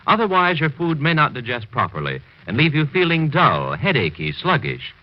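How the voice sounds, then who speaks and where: A man's voice: on the high side, huge pitch swings, moderate pace. A man reading a scripted 1940s radio commercial as an announcer, with polished, emphatic salesmanship. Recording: dull old radio recording.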